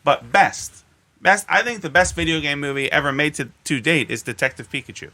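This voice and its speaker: A man's voice: fairly high pitch, animated, brisk, pushed and bright. A man co-hosting a podcast in casual, opinionated chat, swearing freely as he goes. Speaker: podcast co-host.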